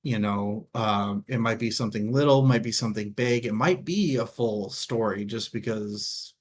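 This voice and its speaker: A man co-hosting a casual book discussion, speaking in a halting way as he thinks aloud. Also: fairly low pitch, slight background noise. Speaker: co-host of a book discussion show.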